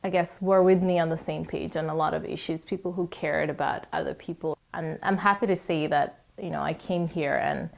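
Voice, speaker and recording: A woman's voice: low-pitched, fairly even intonation, a little fast, creaky. A young woman from Kenya, a college student, giving thoughtful, hesitant interview answers with frequent restarts. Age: young adult.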